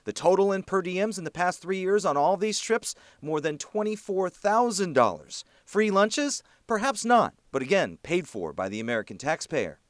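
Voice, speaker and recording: A high-voiced man reads an investigative TV news script with lively, swooping intonation. He enunciates clearly and leans on key words. The recording is dry and close. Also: normal speed, hardly any pauses, no echo. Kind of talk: scripted news report narration.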